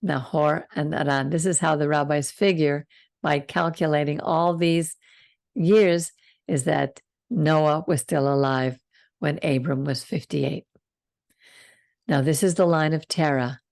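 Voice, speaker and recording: An older woman hosting a Torah study over a video call, reading the passage slowly and calmly and stopping to comment. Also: deep voice, vocal fry, no echo, studio quality. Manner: slow, calm reading with side comments. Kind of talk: reading scripture and teaching.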